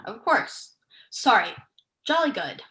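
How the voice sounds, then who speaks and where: Young woman acting sceptical female writer, brisk and probing, higher-pitched, stage play reading. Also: very animated, bright, forceful tone, full character voice. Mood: curious. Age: young adult.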